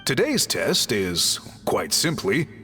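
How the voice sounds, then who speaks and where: Courteous scholarly chief, very animated pitch. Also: male voice, exaggerated voice. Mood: polite, instructive. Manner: formal, deliberate, thoughtful. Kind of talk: anime-style video game voice acting. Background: music.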